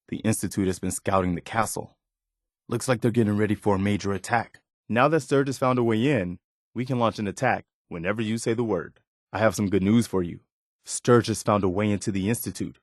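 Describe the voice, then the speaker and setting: Guy voicing a loyal militia officer for a video game, kinda deep, earnest and dutiful, really dry sound. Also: brisk, no room sound, close to an everyday voice. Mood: urgent, businesslike. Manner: sincere, plainspoken, dutiful. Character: earnest, steadfast militia officer, loyal adult male companion. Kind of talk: acted video game dialogue.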